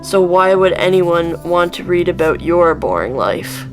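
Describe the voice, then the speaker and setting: A woman's voice: on the low side, big pitch swings, a little slow. A woman playing a scornful, sarcastic teenage older sister in a radio drama. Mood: snide, scornful. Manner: snide, sarcastic. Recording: music under the voice.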